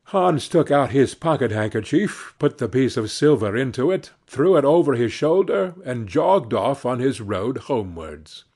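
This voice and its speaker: A man reading a story as an audiobook narrator, expressive and carefully phrased. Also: medium pitch, measured pace, few pauses, breathy edge.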